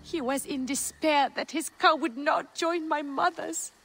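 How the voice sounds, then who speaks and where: A woman's voice: sits high, unhurried, creaky, fairly bright tone, heavily acted. An actress playing a grieving, stubborn young farm woman who speaks in a guarded, resolute way.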